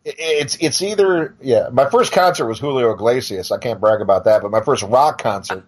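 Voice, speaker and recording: A man's voice: mid-range voice, normal speed. A man in his forties talking casually as a podcast guest, wry and rambling, with some swearing. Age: 40s. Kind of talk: casual chat.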